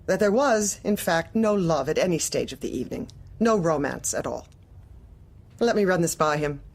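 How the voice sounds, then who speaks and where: An actress playing a manipulative office manager in a dark comedy audio drama, speaking with measured, formal, condescending politeness. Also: female voice, on the low side. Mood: coolly officious.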